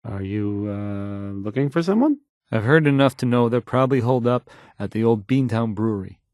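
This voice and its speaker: A man's voice: mid-range voice, expressive, moderate pace, darkish tone, no echo, studio quality, close to an everyday voice. An actor playing a timid, awkward young male radio DJ in a video game, stammering and unsure of himself. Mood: nervous resolve. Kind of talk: video game character acting.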